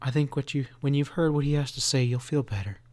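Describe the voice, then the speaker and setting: A soft-spoken, caring farm mother, as a male storyteller puts her on, murmuring low and soothing words. Her voice is dry and close, with no room around it. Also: fast talker, no echo, natural voice. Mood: gentle. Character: gentle caring farm mother, comforting son.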